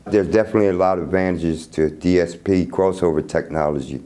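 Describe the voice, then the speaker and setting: A middle-aged man casually explaining his product in an interview, halting and repeating words as he goes. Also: low-pitched, steady tone, dark tone. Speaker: loudspeaker creator being interviewed. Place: hotel room at an audio show. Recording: noisy room ambience.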